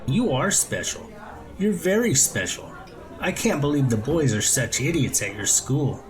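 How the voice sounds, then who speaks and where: A man puts on a creepy, oddly earnest karaoke singer from a story. The voice is lifted above a normal talking pitch, and the manner is overwrought. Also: big pitch swings, unhurried, faint music behind. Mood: sentimental.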